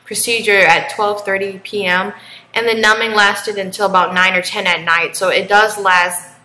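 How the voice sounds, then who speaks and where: A woman's voice: medium pitch, fairly even intonation, normal speed, bright, forceful tone, roomy sound, low-quality recording. A woman in her twenties talking casually to camera in a rambling, chatty way with lots of fillers. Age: 20s. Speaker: vlogger.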